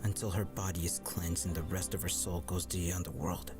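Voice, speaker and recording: A man playing a stern, jaded demon slayer in a visual novel, with curt, deadpan delivery. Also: sits low, a little fast, natural voice. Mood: grim, jaded. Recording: loud game music over voice.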